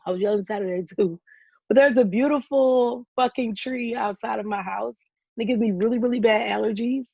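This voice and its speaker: Hoarse woman pushing her voice on a call. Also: fairly high pitch, fairly quick, dry recording. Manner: talks loudly to push past a weak voice, with frequent ums. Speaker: podcast co-host. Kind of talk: casual podcast chat. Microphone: video call. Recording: dull lo-fi video call audio.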